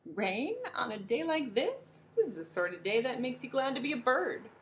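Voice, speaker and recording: A woman voicing a loud, cheerful, bossy bird who lectures and laughs heartily. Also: sing-song, moderate pace, a little hiss or hum. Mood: hearty. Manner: hearty, know-it-all, booming.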